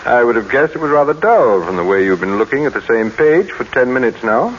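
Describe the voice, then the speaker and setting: Male radio-drama husband played by an actor: measured, polite, suspicious, very expressive, on a noisy vintage recording. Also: medium pitch, highs cut off, close to an everyday voice. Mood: casual, restrained. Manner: measured, pointedly polite, insistent. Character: self-made businessman husband, outwardly genial, quietly suspicious. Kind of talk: 1940s radio drama acting.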